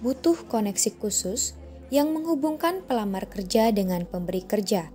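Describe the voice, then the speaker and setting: A woman reading scripted documentary narration in a measured, formal manner. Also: mid-range voice, mellow, soft background music.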